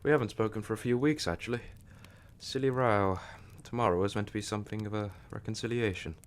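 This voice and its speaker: A man playing a flustered, scatterbrained gentleman in an audio drama, with a hesitant, fussy, wry manner. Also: on the low side, quick pace, natural voice.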